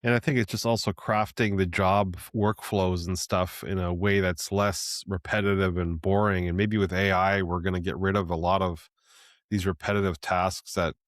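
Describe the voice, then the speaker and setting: A man with a very deep voice hosts a relaxed interview. He muses aloud in a loose, easygoing way and often checks in with "right?". The sound is close and dry. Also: normal speed, dry recording. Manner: easygoing, with many fillers and restarts, ending lines with "right?". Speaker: podcast host. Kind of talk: casual interview conversation.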